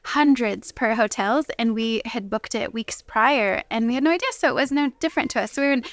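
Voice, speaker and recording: A woman with a fairly high voice speaks as an interview guest. She tells stories in a casual, conversational way. Her voice sounds close and dry, with hardly any echo. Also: fairly quick. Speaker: interview guest, homeschooling mother. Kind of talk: casual storytelling chat.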